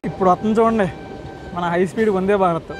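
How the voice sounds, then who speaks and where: Fast, high-voiced Andhra Telugu man vlogging. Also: steady tone. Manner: chatty, addresses viewers directly. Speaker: train travel vlogger. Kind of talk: casual travel vlog commentary. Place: on board a moving train. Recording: clear train and outdoor noise. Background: train rumble and outdoor ambience.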